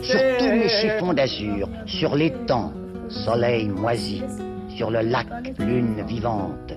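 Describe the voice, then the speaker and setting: A man reciting a poem in a slow, solemn, measured way. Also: mid-range voice, expressive. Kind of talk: poetry recitation over music. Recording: loud music underneath.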